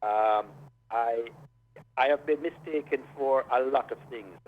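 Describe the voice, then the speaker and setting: A man's voice: medium pitch, a little slow. A man from Jamaica, a lawyer, explaining the law step by step as a guest on a radio show. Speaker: immigration and criminal defense lawyer. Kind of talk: radio interview over a phone line. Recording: dull lo-fi phone line with faint hiss.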